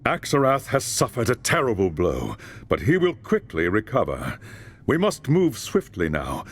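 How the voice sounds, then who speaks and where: A man playing a solemn, commanding loremaster in a fantasy video game, giving grave and urgent counsel in measured phrases. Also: on the low side, huge pitch swings, husky, exaggerated voice. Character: grave, commanding male high lore master mage. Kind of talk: dramatic video game cutscene acting.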